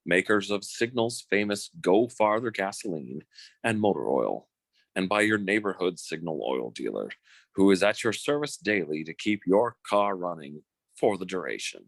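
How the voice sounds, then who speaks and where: A man playing a suave, formal 1940s radio announcer with measured, emphatic delivery. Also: sits low, lively intonation, dry recording. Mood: polished, formal.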